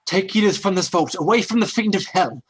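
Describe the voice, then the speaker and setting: A man's voice: high voice, lively intonation, brisk, husky. A man playing an urgent, protective rescuer, straining and commanding, in an old time radio horror play.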